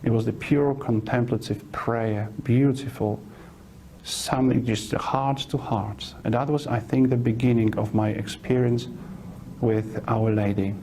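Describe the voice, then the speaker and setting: A middle-aged priest from Poland, a Polish native speaker, gives a personal testimony, speaking slowly and haltingly with pauses and fillers. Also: male voice, mid-range voice, steady tone, warm tone, slight background noise. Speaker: parish priest.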